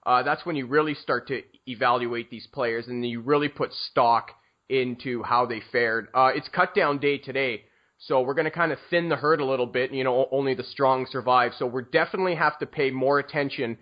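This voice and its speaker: A young man hosting a podcast, asking joking questions in a lively, casual way. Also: mid-range voice, brisk. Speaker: podcast host. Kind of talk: casual chat. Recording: dull lo-fi sound.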